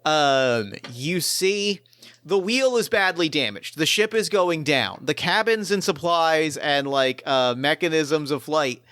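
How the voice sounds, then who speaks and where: A man's voice: fairly high pitch, expressive, takes it slowly, a bit bright, clear, resonant tone, no echo, clean studio sound. A man acting as game master, narrating an improvised tabletop game casually and thinking aloud in colloquial language.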